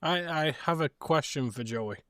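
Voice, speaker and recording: A man co-hosting a podcast, chatting casually and giving easygoing, measured opinions about films. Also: a little high, lively intonation, a bit bright, smooth, dry recording, studio quality. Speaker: podcast co-host. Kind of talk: casual movie discussion.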